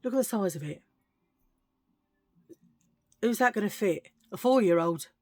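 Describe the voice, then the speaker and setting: A middle-aged woman with a husky low voice, chatting in a rambling, self-mocking way while showing a thrift haul. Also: expressive, unhurried, darkish tone, dry recording. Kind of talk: casual chatty haul video.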